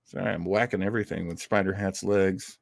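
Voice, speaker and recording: A man's voice: deep voice, steady tone, a little fast, vocal fry, dry recording. A man hosting an online old-time radio show, chatting casually and ramblingly with theatrical, jokey asides. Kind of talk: casual rambling livestream chat.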